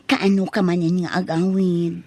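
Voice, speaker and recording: A woman's voice: on the low side, flat delivery, a little slow, darkish tone, no room sound. A woman playing a vain, money-minded young village bride-to-be in a comic radio drama, with an Ilocano Filipino accent. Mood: sweetly affectionate. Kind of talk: comedic radio drama acting.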